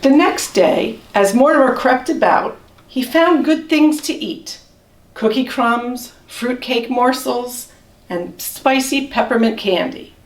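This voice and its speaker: Female storybook reader: higher-pitched, measured, expressive, recorded in a roomy space away from the mic. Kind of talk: children's storybook read-aloud. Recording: roomy echo, distant microphone.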